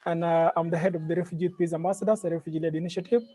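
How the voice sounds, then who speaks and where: A man from South Sudan who leads a youth peace group, introducing himself quickly with frequent fillers. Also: fairly high pitch, little pitch movement, mellow. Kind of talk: self-introduction on a call.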